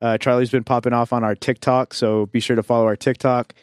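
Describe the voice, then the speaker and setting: A man's voice: mid-range voice, flat delivery, a little fast, clear, resonant tone, no echo, studio quality. A young man co-hosting a casual podcast, loose and joking, laughing often. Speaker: podcast co-host. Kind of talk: casual chat.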